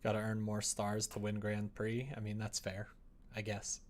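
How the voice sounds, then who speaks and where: A man's voice: fairly low pitch, monotone, normal speed, a little hiss or hum. A young man hosting a livestream, chatting casually with a self-deprecating, sardonic, grumbling manner. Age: young adult. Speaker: livestream host. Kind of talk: casual livestream chat while working.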